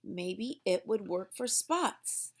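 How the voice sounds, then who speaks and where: A woman's voice: mid-range voice, expressive, takes it slowly, gravelly. A woman reading a storybook aloud for young children in a theatrical way, drawing out words for emphasis.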